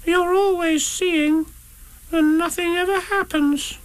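A man's voice: very high voice, animated, normal speed, pushed and bright, no echo, voice pushed up, full character voice. A man voicing a small, eager, stubborn young kangaroo in a children's story, childlike and plaintive.

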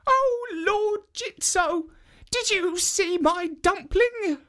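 A shrill, giggly old woman. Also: extremely slow, fairly bright tone, heavily acted. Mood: pleading. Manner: shrill, sing-song cries. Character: giggling old woman chasing her dumpling.

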